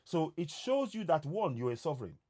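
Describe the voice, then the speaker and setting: A man's voice: fairly high pitch, big pitch swings, a little fast, warm tone. A man from Nigeria whose first language is Yoruba, giving an impassioned monologue to his viewers and stressing points by repeating words. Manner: emphatic, repeats words for emphasis. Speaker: online activist host.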